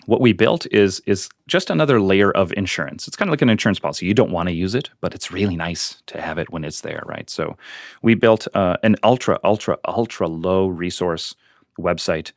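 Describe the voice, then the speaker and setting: Interview guest: a low-voiced man who grew up speaking Ukrainian, relaxed and plainspoken, with a dry close sound. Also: vocal fry, no room sound. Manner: explains fast and plainly with fillers and restarts. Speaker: guest, volunteer on a missing-persons website. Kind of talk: casual interview talk.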